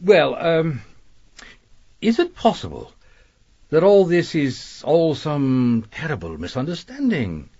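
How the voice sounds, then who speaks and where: An actor playing a smug, evasive gentleman suspect in a radio mystery, pompous and hesitant as he comes under pressure. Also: a little high, sing-song, takes it slowly, slightly rough, dull audio, exaggerated voice. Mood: flustered, pleading. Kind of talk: radio mystery drama acting.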